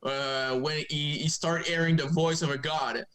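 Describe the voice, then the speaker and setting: A young man from Quebec with a French-Canadian accent, speaking English as a second language, chatting haltingly in a remote podcast interview. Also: fairly high pitch, fairly even intonation, fairly bright tone. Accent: Québécois French. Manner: halting, many fillers and restarts. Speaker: guest comic writer. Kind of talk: casual interview chat.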